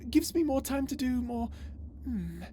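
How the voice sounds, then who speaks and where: A woman playing an unhinged, vengeful, lovesick and tormented space villain in an ASMR roleplay drama, speaking in a theatrical, halting way. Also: high voice, lively intonation, mellow, a little hiss or hum. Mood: sweetly sinister. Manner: theatrical, halting, swinging between tender menace and anguish.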